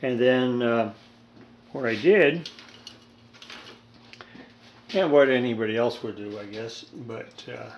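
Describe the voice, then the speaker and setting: A man's voice: mid-range voice, takes it slowly, long pauses, muffled recording. A man in his seventies giving a casual, rambling, folksy hands-on repair demonstration with dry humor. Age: 70s. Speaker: hobbyist radio restorer. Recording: steady background noise, homemade video.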